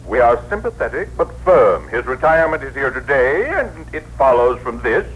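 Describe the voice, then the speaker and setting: An actor playing a pompous, irritable director, officious and imperious, in a 1960s radio drama. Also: male voice, medium pitch, sing-song, moderate pace, breathy edge, lo-fi sound. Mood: stern. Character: pompous, irritable bureaucratic director, male boss. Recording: noisy vintage radio broadcast recording.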